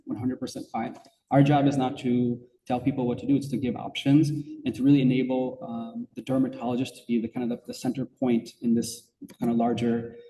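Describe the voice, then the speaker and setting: A man gives a conference talk at a rapid clip, his tone dark and mellow. He speaks casually, with lots of "um"s and restarts. He sounds distant from the mic in an echoey hall with faint background ambience. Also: mid-range voice, a little hiss or hum. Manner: fast, conversational, many fillers and restarts.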